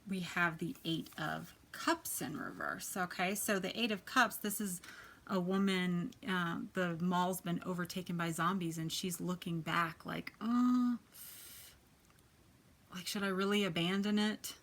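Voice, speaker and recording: A soft-spoken woman with a quiet voice, chatting casually as she gives a tarot reading, often dropping to a near whisper. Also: fairly low pitch, a little slow. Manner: chatty, many fillers, drifts to near-whisper. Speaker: tarot reader. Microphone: quiet home recording.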